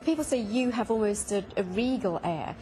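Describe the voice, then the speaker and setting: A woman's voice: mid-range voice, lively intonation, normal speed. A woman in her thirties asking questions as a television interviewer. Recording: loud music behind the voice.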